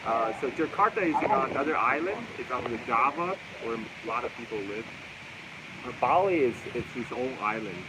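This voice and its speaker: A man with a loud voice hosting a casual outdoor livestream from a roadside cafe, holding the phone camera himself. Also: mid-range voice, animated, moderate pace, noisy background. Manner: loud voice, chatty and easygoing. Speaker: livestream host. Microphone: handheld phone camera.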